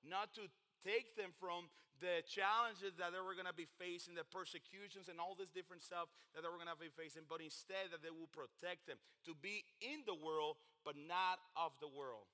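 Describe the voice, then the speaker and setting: A man's voice: high-pitched, steady tone, normal speed, few pauses, bright, forceful tone. A man from the Dominican Republic with a Dominican Spanish accent preaches informally as a youth pastor, stumbling over words and correcting himself. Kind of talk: sermon to a men's Bible study group. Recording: long hall reverb on the voice.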